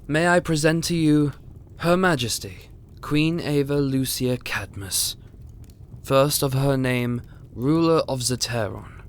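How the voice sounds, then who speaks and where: A man's voice: medium pitch, takes it slowly. Man acting a hesitant, formal royal chamberlain, noisy ambience. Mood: formal, deferential. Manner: formal, deferential, hesitant phrasing. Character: polite, hesitant royal chamberlain to a fantasy court. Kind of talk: fantasy audio roleplay acting.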